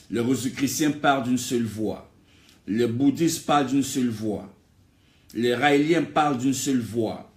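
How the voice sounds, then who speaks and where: A man's voice: medium pitch, darkish tone. Echoey male preacher, emphatic and repetitive. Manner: emphatic, repetitive rhetorical phrasing. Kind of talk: exhortatory sermon. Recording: echoey, reverberant room.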